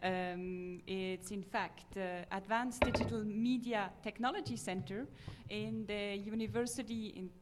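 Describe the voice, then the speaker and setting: A woman moderating a conference session, halting with many fillers. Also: mid-range voice, steady tone, background noise. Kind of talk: introducing speakers at a conference.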